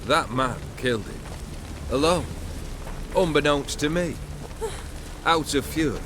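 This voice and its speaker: A man's voice: mid-range voice, noisy background, lightly acted. An actor playing a cold, world-weary immortal nobleman in a video game, speaking with arrogant, sardonic, archaic phrasing. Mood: cold, imperious. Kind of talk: video game character acting.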